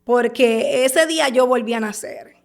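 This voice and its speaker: High-voiced Puerto Rican woman telling her story. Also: vocal fry. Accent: Puerto Rican Spanish. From Puerto Rico. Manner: halting, repeats and restarts words while recounting. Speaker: guest. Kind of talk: personal testimony on a podcast.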